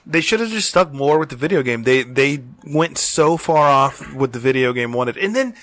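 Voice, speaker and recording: A man's voice: mid-range voice, lively intonation, moderate pace, a bit bright, no echo. A man co-hosting a podcast, chatting casually and debating in a blunt, opinionated way with plenty of swearing. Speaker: podcast co-host. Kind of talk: casual chat debating movies and games.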